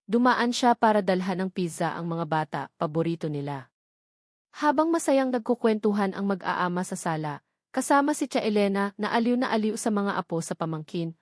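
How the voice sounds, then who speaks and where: Female storyteller, medium pitch, scripted first-person romantic drama narration, dry recording. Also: a little mellow, no room sound.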